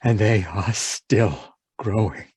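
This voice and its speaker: Man playing a haunted, slow-speaking horror radio narrator. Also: on the low side, a little mellow, slightly rough, no room sound, exaggerated voice. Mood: haunted, brooding. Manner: halting, repetitive, melodramatic delivery. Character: anguished, haunted man raving about monstrous giant worms. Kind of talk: old-time radio horror acting, dramatic monologue.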